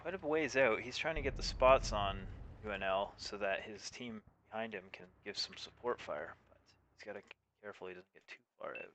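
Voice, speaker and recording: Low, bright-voiced male game commentator. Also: fairly quick, slight background noise. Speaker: esports match commentator and streamer. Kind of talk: live tank game esports commentary.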